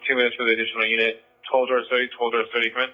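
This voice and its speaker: A man's voice: medium pitch, completely flat delivery, rapid-fire, narrow, tinny audio. A man giving short police calls over a scanner radio.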